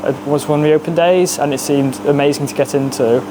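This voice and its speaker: A man's voice: on the high side, fairly even intonation, fairly quick. A nineteen-year-old man from Cheshire who answers briefly and unhurriedly. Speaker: student rally mechanic. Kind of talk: casual interview answers. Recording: noisy background hiss.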